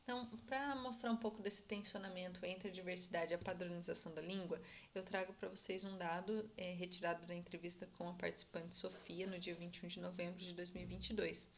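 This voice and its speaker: A young woman giving an academic conference presentation, explaining in a measured way and often checking in with her listeners. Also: lowish voice, fairly even intonation, fairly bright tone, slight background noise.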